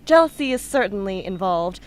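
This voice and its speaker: A woman's voice: medium pitch, expressive, fairly quick, close to an everyday voice. A woman playing a blunt, sardonic, tough young patient in an amateur radio drama, with a mocking delivery. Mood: blunt, scornful. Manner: sarcastic, mocking. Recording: noisy amateur radio play recording.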